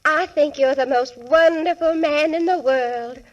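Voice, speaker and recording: A woman's voice: high-pitched, unhurried, muffled recording. A woman playing a gracious, sweetly sentimental young lady in a 1940s radio comedy, speaking politely and affectionately. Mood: grateful, adoring. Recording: vintage 1940s radio broadcast recording.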